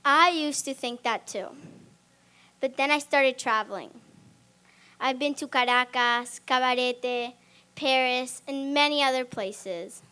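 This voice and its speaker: Slow, deliberate young girl giving a talk. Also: high-pitched, a bit bright, clean tone. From Spain. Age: child, 11. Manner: slow, deliberate delivery with dramatic pauses. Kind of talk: memorized public talk. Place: stage before a live audience.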